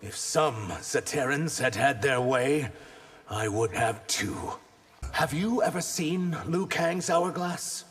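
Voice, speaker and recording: A man's voice: mid-range voice, expressive, slow pace, a bit bright, husky, long reverb tail, exaggerated voice. A man voicing an earnest, guarded reptilian shapeshifter warrior in a video game. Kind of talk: video game character dialogue.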